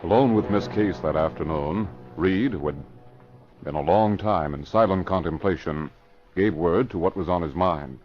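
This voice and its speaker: A man's voice: low voice, normal speed, faint music behind. A man narrating an old-time radio mystery in a dramatic scripted style. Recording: muffled vintage radio recording.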